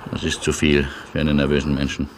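A man playing a philosophical, nervous yet indomitable refugee in a German radio play, speaking in a deliberate, musing, theatrical way. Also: very deep voice, monotone. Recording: noisy old radio play recording.

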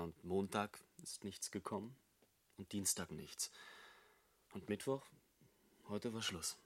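A man's voice: low voice, fairly bright tone. An actor playing an embittered, despairing jobless man of thirty in a German radio drama, brooding and sarcastic. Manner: bitterly sarcastic, brooding, emphatic.

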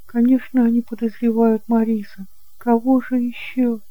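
A woman's voice: a little high, little pitch movement, normal speed, no echo, narrow, tinny audio, lightly acted. A woman playing an anxious, devoted aunt pleading earnestly in an amateur audio drama. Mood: troubled, confiding.

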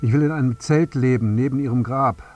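An actor playing a bereaved, broken man in a radio drama monologue, speaking in stark, deliberate poetic phrases with a husky voice. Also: medium pitch, darkish tone, lightly acted. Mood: numb grief. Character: grieving bereaved father, broken, obsessive, demanding an apology.

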